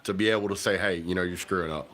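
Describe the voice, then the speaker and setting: A man, chatting casually to viewers on a livestream while stuffy and hoarse from a cold. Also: low voice, steady tone, very fast, fairly bright tone. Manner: rambling, folksy, talks to viewers directly. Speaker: livestream host. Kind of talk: casual livestream chat about football. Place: home kitchen.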